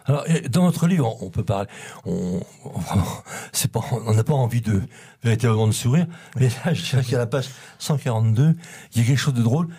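Mellow-voiced husky male radio host. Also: mid-range voice, animated, a little fast. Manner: hesitant, repeats words, chuckles while reading. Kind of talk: radio interview.

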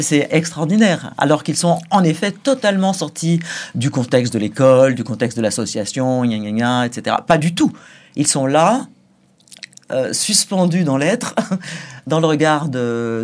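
A man speaking as an interview guest on a talk show. His delivery is emphatic and forceful, and short laughs punctuate his points. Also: medium pitch. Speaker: guest, school figure.

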